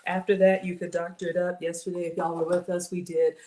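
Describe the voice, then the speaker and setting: A middle-aged woman with a slightly raspy voice, chatting casually to viewers while she cooks in her home kitchen. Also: fairly low pitch, flat delivery, brisk, warm tone. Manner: chatty, talks to viewers as y'all. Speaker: cooking livestream host. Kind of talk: casual live cooking chat with viewers. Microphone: fixed camera at counter. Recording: echoey kitchen with clear background noise.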